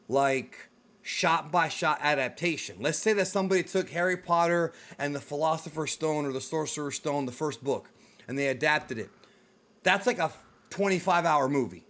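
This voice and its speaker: A man hosting a casual discussion of films and games, talking in a blunt, informal way that runs to swearing. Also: on the high side. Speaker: video show host. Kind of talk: casual film and game discussion.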